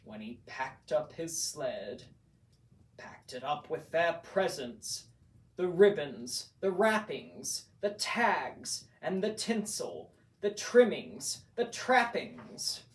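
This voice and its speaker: A man reading a rhyming storybook aloud in a measured, theatrical, vintage horror-actor style. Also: on the high side, big pitch swings. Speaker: storybook narrator. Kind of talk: dramatic read-aloud imitating vintage horror-actor narration. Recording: roomy echo, distant from microphone.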